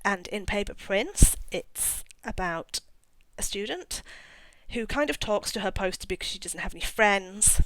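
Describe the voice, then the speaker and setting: A woman's voice: medium pitch, animated, a little slow, fairly bright tone, dry recording, low-quality recording. A middle-aged woman talking casually as an author about her own work, in a chatty, rambling way with self-mocking asides. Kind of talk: casual talk to camera.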